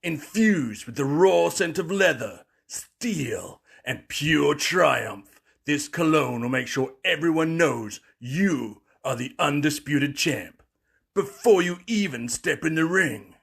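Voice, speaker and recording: A bombastic macho pitchman played by a man with a gravelly, husky voice. He delivers a parody radio commercial slowly and dramatically, leaning hard on the big words. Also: lively intonation, fairly bright tone, exaggerated voice. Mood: triumphant bravado. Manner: dramatic, emphatic, drawn-out.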